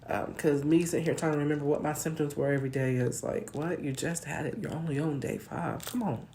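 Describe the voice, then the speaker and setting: A hoarse woman with a naturally deep, gravelly voice talks in a loose, conversational way. She wanders and corrects herself mid-sentence. Her tone stays dark and mellow under a faint hiss of background noise. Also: fairly even intonation, brisk. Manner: rambling, self-correcting, conversational. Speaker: vlogger. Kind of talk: casual personal account.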